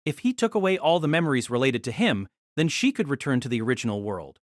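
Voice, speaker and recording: Guy narrating an action story, lively swings in pitch, dry close sound. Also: fast, dry recording. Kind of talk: dramatic scripted story narration.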